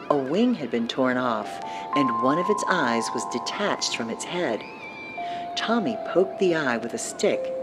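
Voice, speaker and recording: A woman narrates a scripted horror tale in a very low voice. Her delivery is slow and expressive, and music plays clearly behind her.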